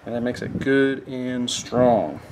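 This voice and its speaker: Unhurried male instructor talking through a table-top demo, plain and practical. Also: mid-range voice, darkish tone, a little hiss or hum, low-quality recording. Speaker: fishing tackle instructor. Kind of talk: hands-on how-to demonstration. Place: indoors at a work table.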